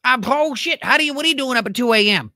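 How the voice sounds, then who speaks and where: A man's voice: very high-pitched, animated, fast talker, pushed and bright, no echo, studio quality, voice pushed up, heavily acted. A man voicing a groveling, self-pitying middle-aged man who talks crudely and fawns. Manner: crude and fawning.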